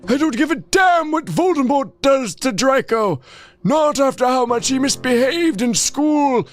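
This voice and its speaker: Woman doing a loud, scornful old wizard headmaster. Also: high-pitched, expressive, a little slow. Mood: scornful anger. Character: angry, mean, dismissive old male wizard headmaster.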